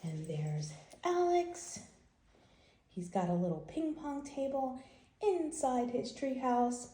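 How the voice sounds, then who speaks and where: A woman's voice: high-pitched, lively intonation, slow pace, mellow. A woman hosting a bedtime read-aloud, talking to small children in simple, emphatic phrases and guiding questions. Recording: roomy, echoing home recording.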